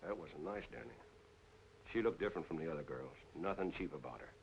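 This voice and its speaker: An actor playing a sly, menacing crime boss in terse film noir style, on an old soundtrack. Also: male voice, deep voice, expressive, vocal fry, a little hiss or hum, low-quality recording. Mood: quietly menacing. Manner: terse, slow-burning veiled threats. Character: menacing crime boss, soft-spoken, falsely hurt and sly. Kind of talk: mid-century film noir acting.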